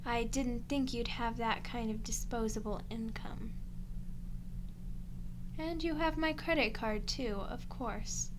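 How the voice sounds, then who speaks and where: Over clear background noise comes a woman acting a defiant female vampire in an ASMR roleplay. Her voice sits fairly high, and she speaks with dry, teasing sarcasm and theatrical exasperation. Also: takes it slowly. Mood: sarcastic. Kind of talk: ASMR roleplay, one-sided scripted dialogue.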